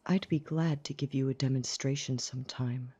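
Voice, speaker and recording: A woman playing a haughty, menacing, status-proud figure with a dark husky voice and cutting manner in an audio drama. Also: very deep voice, steady tone, normal speed, slight background noise. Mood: icy, threatening. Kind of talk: audio roleplay drama acting.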